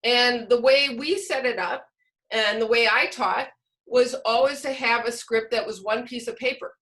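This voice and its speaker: A woman's voice: fairly high pitch, moderate pace, a bit bright. A woman presenting an online workshop in an explanatory way, posing questions to her listeners as a teacher trainer would over a video call.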